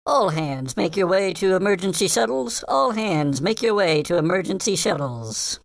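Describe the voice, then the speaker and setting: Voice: medium pitch, animated, normal speed, vocal fry, no echo, voice pushed up. A game master voicing a ship's announcer who repeats formal emergency evacuation orders in a tabletop game. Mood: urgent, formal. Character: ship announcer calling an evacuation over loudspeakers.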